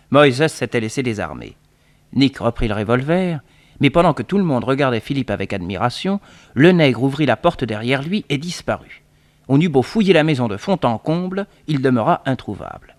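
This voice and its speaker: Casting: male storyteller, mid-pitched voice, scripted dramatic narration for an old radio serial. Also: very animated, moderate pace.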